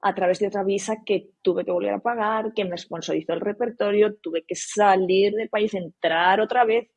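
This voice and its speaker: A woman's voice: medium pitch, moderate pace, husky, lo-fi sound. A woman from Spain, a podcast guest, talking in a casual interview and often restarting and repeating words for emphasis. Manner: frequent restarts, emphatic repetition.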